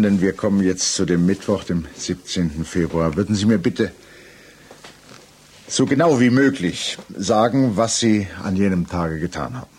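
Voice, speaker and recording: An actor playing a grave, methodical magistrate in a 1960s German radio play, questioning formally and precisely. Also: male voice, low-pitched, darkish tone, a little hiss or hum, natural voice. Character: grave, methodical examining magistrate, courteous but insistent. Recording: mono 1960s radio recording.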